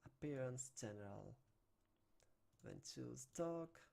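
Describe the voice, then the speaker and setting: A man's voice: medium pitch, lively intonation, slow, vocal fry, warm tone. A young man from Greece with a Greek accent, teaching a programming tutorial at a desk mic and walking through on-screen steps in non-native English. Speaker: programming instructor. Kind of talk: screen-recorded coding tutorial. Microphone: desk microphone.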